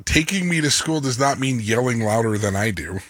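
A man in his thirties co-hosting a sports radio show, talking in a booming, animated way and laughing as he argues. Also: fairly quick, dry recording. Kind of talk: casual sports talk banter.

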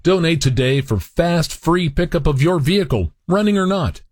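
A man reads a charity radio advert in an earnest, measured announcer style. His voice is husky and dry, with a lively pitch. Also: no echo.

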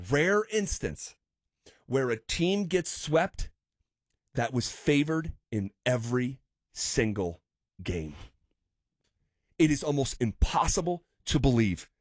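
Analytical sports radio host, dry studio. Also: male voice, on the high side, no room sound. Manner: analytical, builds points with frequent restarts. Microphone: studio microphone.

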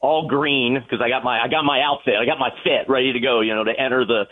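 A man calling in to a sports radio show, excitable and rambling, laughing often, his voice climbing when he gets worked up. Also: mid-range voice, fast talker, narrow, tinny audio. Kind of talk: casual sports radio chat. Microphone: phone or remote line from a hotel room.

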